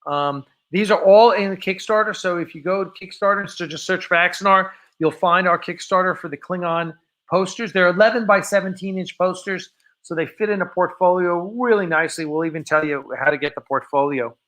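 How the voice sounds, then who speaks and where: Male livestream host, forceful bright tone, casual, halting and rambling delivery. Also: sits high. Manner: rambling, halting, self-interrupting. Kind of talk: casual, unscripted livestream chat.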